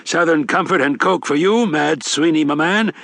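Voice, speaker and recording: A man's voice: a little high, normal speed, creaky, fairly bright tone, dry recording, clean studio sound, pitched above the performer's own voice. A man voicing a jovial, sly older con man with a grandiose, teasing manner in an audiobook. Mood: grand. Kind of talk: audiobook character acting.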